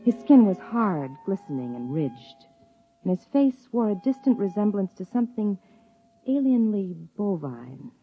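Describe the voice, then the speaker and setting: An actress playing an earnest, persuasive scientist wife who also narrates, in 1950s radio drama style. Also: female voice, mid-range voice, big pitch swings, a little slow, soft background music. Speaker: narrator and lead character. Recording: dull 1950s radio broadcast.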